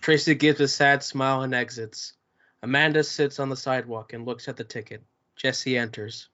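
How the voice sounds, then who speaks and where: A man reading the stage directions aloud in a table read of a play, in a plain, even delivery. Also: medium pitch, moderate pace. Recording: dull lo-fi recording.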